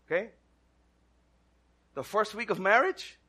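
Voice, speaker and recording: A man's voice: high-pitched, very animated, a little slow, sharp tone, husky. A man from the Dominican Republic with a Dominican-accented English, giving a casual, conversational sermon and asking the congregation questions. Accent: Dominican Spanish-accented English. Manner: conversational, asks the crowd questions, self-deprecating humor. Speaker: church speaker.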